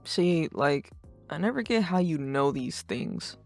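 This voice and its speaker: Woman playing a low, gravelly too-cool teenage boy. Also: a little slow, soft background music, exaggerated voice. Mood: deadpan, mildly sarcastic. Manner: deadpan, drawling slang. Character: laid-back, too-cool teenage boy, sarcastic and slangy. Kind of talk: fan dub voice acting.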